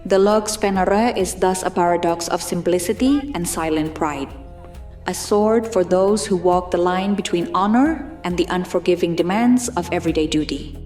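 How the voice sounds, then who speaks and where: A woman narrates in a lower register, with a measured and formal delivery. It is a scripted, documentary-style reading of fantasy lore. The sound is roomy, with faint music underneath. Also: a little mellow.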